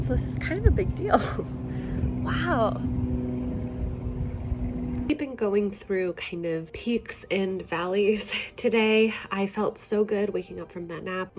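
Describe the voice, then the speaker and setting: A young woman with a cold, sounding nasal and stuffed up, chatting softly in a casual diary-style vlog and laughing between phrases. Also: medium pitch. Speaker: vlogger.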